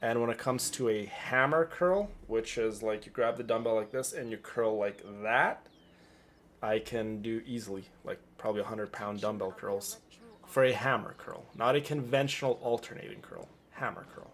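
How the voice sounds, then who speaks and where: A man's voice: fairly low pitch, moderate pace, a little hiss or hum. A man streaming casually, talking in a boastful, rambling and repetitive way to his audience. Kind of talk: casual livestream chat.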